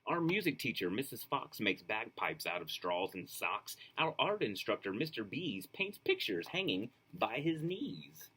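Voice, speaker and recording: A man reading a children's storybook aloud, expressive and rhythmic, with casual asides. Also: mid-range voice, unhurried, hardly any pauses, slightly rough. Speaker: storybook reader.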